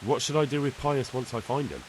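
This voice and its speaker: A man's voice: medium pitch, expressive, fairly quick, a little hiss or hum, natural voice, poor audio. An actor playing a young man in a lord's service in a game, plain-spoken and deferential. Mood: doubtful. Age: young adult. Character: earnest young medieval man serving a lord. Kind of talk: video game cutscene acting.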